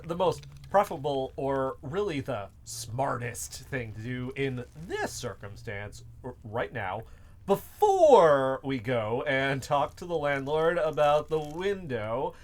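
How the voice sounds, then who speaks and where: Nervous, stammering actor as a young man, swinging pitch. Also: big pitch swings, measured pace, a little hiss or hum. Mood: nervous, stalling. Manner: hesitant, stammering, rambling. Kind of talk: naturalistic fiction podcast acting.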